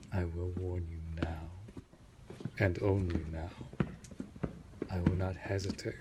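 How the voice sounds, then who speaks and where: A man playing a courteous, possessive vampire master in an audio roleplay, speaking formally and deliberately with a breathy voice that often sinks toward a whisper. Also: low voice. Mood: stern warning. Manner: formal, measured, deliberate speech. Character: centuries-old male vampire master, courteous, possessive, protective manor lord. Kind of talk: immersive audio roleplay addressed to the listener. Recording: loud background noise.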